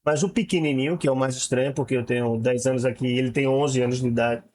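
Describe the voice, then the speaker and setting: A middle-aged Brazilian man with a Brazilian Portuguese accent, a guest writer talking in a casual conversation, animated and halting with many restarts. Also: mid-range voice.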